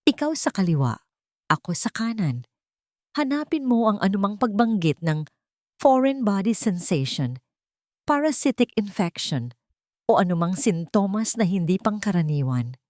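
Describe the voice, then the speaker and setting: A woman's voice: medium pitch, big pitch swings, moderate pace, darkish tone, dry recording, studio quality, lightly acted. A woman voicing a reserved, commanding male senior doctor in an audio drama, speaking tersely. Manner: terse, authoritative. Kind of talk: audio drama character dialogue.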